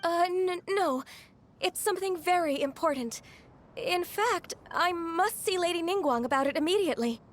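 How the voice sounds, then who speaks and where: A woman's voice: very high-pitched, normal speed, a little hiss or hum. A woman playing a polite, easily flustered young secretary in a video game, apologetic, hesitant and deferential. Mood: flustered, evasive. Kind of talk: video game character acting.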